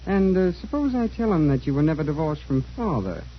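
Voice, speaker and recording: A muffled old radio recording of a sly, self-satisfied young son. His voice is husky and swings up and down, glib and needling in a radio-drama manner. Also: a little high, big pitch swings, fairly quick. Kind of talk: old-time radio acting.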